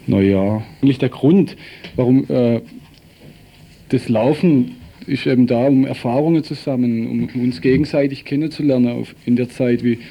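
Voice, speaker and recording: A man's voice: mid-range voice, normal speed, gravelly, mellow. A man being interviewed as a peace activist, answering informally and searching for his words.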